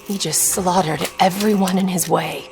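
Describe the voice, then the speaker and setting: A woman's voice: fairly low pitch, steady tone, normal speed, vocal fry. A woman playing a determined intelligence agent in a sci-fi war video game, speaking in a clipped, authoritative way. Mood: grave, businesslike. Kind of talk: cinematic video game voice acting. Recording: music bed under the voice.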